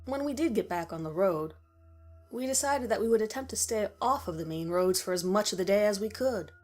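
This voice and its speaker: A woman's voice: medium pitch, expressive, brisk, a little mellow. A woman reading a scripted horror story in the first person as its narrator. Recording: music behind the voice. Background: background music.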